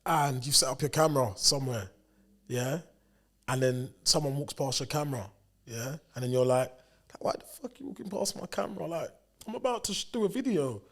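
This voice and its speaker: A young man, a personal trainer co-hosting a podcast, talking in a slangy, animated way and often repeating himself. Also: medium pitch, fairly quick. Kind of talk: casual chat.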